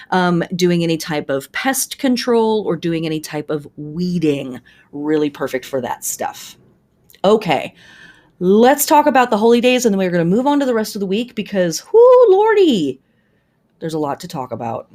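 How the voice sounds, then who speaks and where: A woman hosting a casual talk on the pagan calendar and astrology, chatty with many fillers, laughs and asides. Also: lowish voice. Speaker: podcast host. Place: home in a noisy city.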